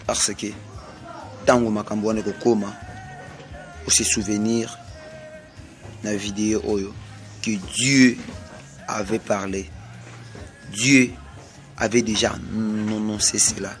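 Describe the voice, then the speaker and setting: A man from Congo with a Congolese French accent, delivering a slow, repetitive prophetic sermon. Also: lowish voice, little pitch movement, soft background music. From Congo (DRC). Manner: slow, repetitive, emphatic pronouncements. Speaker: self-styled prophet.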